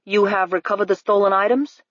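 A woman voicing a grave, dignified male magistrate who speaks in measured, solemn pronouncements. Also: mid-range voice, fairly even intonation, no room sound, lo-fi sound, lightly acted. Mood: probing.

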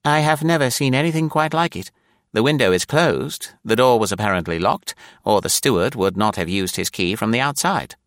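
A man reading an audiobook, voicing a calm, precise ship's doctor who speaks slowly and deliberately. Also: medium pitch, dry recording, studio quality, voice pushed up. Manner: slow, measured, unruffled. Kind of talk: audiobook character voice.

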